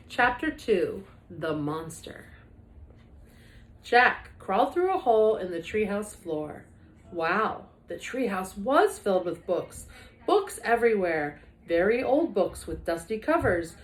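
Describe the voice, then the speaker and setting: A woman reading a children's book aloud like a teacher, with expressive, dramatic emphasis. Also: mid-range voice. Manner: animated, dramatic emphasis for young listeners. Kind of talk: expressive storybook read-aloud. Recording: echoey room.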